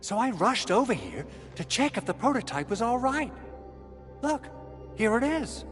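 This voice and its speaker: A man voicing an excitable, melodramatic chief engineer in a video game, effusive and easily flustered. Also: very high voice, moderate pace, in a large hall, soft background music, exaggerated voice. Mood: earnest, relieved.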